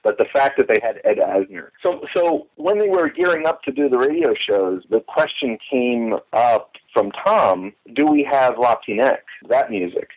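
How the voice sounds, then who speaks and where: A man in conversation as an interview guest, telling stories from memory in an animated way over a phone line. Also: medium pitch, moderate pace, few pauses, slight background noise. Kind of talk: conversational reminiscing about radio drama. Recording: muffled, band-limited phone line.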